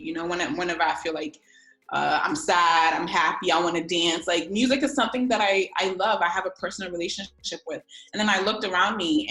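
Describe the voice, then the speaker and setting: Young woman from Ghana, chatty guest on a video call, bright and echoey. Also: lowish voice. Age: 20s. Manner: chatty, lots of fillers, slangy. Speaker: guest, publicist. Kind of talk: casual interview over video call.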